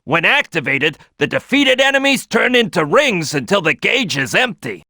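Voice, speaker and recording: A man's voice: high-pitched, big pitch swings, moderate pace, bright, forceful tone, dry recording, clean studio sound, exaggerated voice. A man voicing a big brash cartoon crocodile team leader in a video game, giving tutorial tips with emphatic, punchy delivery. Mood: instructive, emphatic. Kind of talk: animated game tutorial voice lines.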